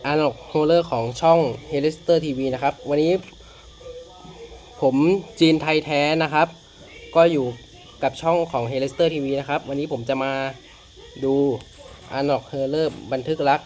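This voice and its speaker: A man's voice: fairly high pitch, steady tone, slow, darkish tone, slight background noise. A man hosting a casual reaction video, commenting with frequent exclamations. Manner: exclamatory, uses many interjections. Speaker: channel host reacting to videos.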